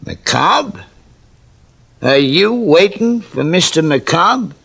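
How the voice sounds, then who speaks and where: A jumpy, suspicious night attendant in an old radio mystery. His voice sits fairly high and swings widely in pitch. He talks in pushy, folksy working-class slang. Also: sing-song, extremely slow, gravelly, fairly bright tone, husky, heavily acted. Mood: suspicious, agitated. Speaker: actor in a radio drama. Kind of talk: 1950s radio mystery acting. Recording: vintage radio drama recording.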